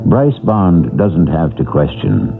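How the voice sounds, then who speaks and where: A man's voice: extremely low pitch, sing-song, slow, creaky. A man giving a grave, reflective closing narration as host of a radio drama. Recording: tinny old radio broadcast over music.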